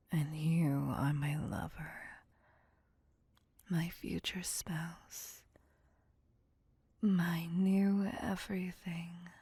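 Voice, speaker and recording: Slow, husky, whispering vampire seductress played by a woman. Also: lowish voice, warm tone, dry recording, exaggerated voice. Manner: slow, teasing, theatrical purring menace. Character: seductive, possessive female vampire, menacing yet doting lover. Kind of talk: ASMR roleplay, intimate whispered acting.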